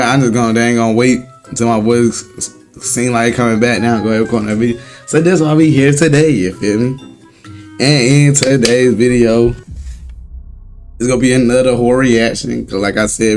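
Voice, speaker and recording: A young man hosting his reaction channel at home, chatting casually to viewers with a slightly raspy, recovering voice and loose slang. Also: mid-range voice, fairly even intonation, brisk, a little mellow, faint music behind. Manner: rambling, laid-back slang, chuckles often. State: hoarse, voice recovering. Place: home room. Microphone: desk setup at a laptop.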